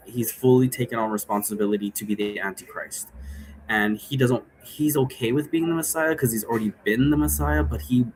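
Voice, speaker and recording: A man's voice: medium pitch, a little hiss or hum, low-quality recording. A man casually explaining his role-play game in a rambling way, often checking for agreement with tag questions. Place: indoor room.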